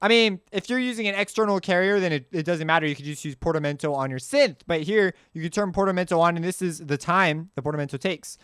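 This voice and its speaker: A man giving a casual, off-the-cuff software walkthrough as a tutorial host, informal and slangy, rambling as he goes. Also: sits high, fast, few pauses, smooth, dry recording, studio quality.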